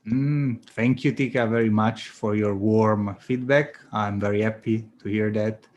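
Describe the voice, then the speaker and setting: A man's voice: fairly low pitch, a little slow, slight background noise. A man with an Italian accent, teaching English on a video call and giving encouraging feedback with many fillers. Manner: relaxed, encouraging, full of fillers. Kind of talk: online class feedback round.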